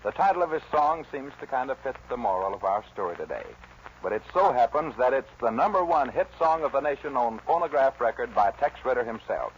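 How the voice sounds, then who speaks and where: An American man hosts in a folksy 1940s radio style. His voice sits at a middle pitch. The recording is dull, with faint hiss. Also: big pitch swings, brisk. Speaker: radio show host.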